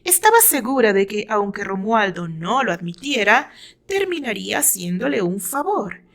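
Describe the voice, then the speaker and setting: A woman's voice: mid-range voice, expressive, normal speed, gravelly, a bit bright. A woman with a Mexican accent reading her own fiction aloud as dramatic scripted narration.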